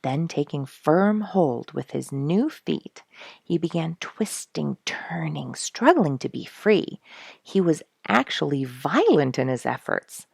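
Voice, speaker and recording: Low, husky woman storyteller. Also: sing-song, slow, a little mellow, no room sound, clean studio sound. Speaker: storyteller, show host. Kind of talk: scripted storybook narration.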